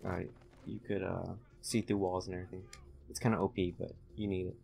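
A young man giving casual, halting, low-key commentary while concentrating on playing a video game. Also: little pitch movement, creaky, a little mellow, soft background music. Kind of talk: casual let's-play commentary.

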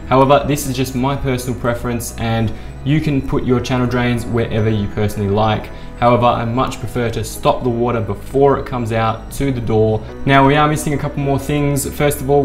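A young man at a desk hosting an architecture software tutorial, explaining a bathroom design and gesturing as he talks. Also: medium pitch. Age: young adult. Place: small room at a desk. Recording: echoey room with faint music.

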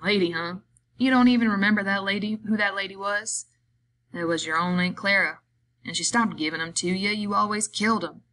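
A woman voicing a gruff, exasperated working man who gives brusque orders in rough rural slang, in an audiobook story. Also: fairly low pitch, fairly even intonation, fairly quick, bright, forceful tone, rough recording. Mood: scoffing, bossy. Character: gruff, bossy, exasperated working man minding his friend.

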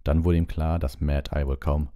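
A man's voice: very deep voice, level tone, normal speed, warm tone, dry recording, clean studio sound. A man reading a novel aloud as an audiobook narrator, in scripted literary narration.

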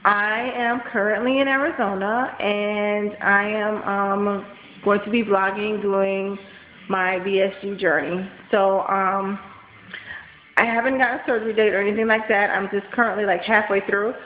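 A woman in her thirties with a nasal voice, congested from a cold and tired, talking fast in a rambling casual vlog full of ums and likes, recorded close on a webcam in a small room at home. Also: mid-range voice, steady tone. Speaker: vlogger. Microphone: built-in webcam mic, close. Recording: dull lo-fi webcam audio with faint hiss.

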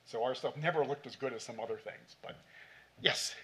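A man's voice: medium pitch, brisk, sharp tone. A middle-aged man answering questions after a conference talk in a hall, speaking in short bursts with many fillers. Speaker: conference presenter. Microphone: podium mic.

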